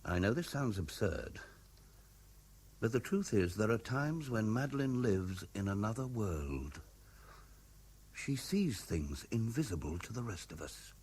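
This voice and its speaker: An actor with a British accent playing a troubled, earnest middle-aged husband in a 1970s radio drama, hesitant but insistent. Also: male voice, on the low side, sing-song, unhurried, darkish tone, husky, exaggerated voice. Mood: uneasy, confiding.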